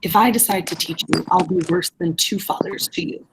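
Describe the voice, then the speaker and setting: A woman playing an arrogant, brusque gentleman speech teacher in a play reading, imperious and scornful. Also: on the low side, a little fast, background noise, natural voice. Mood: commanding. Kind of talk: reader's theatre play reading.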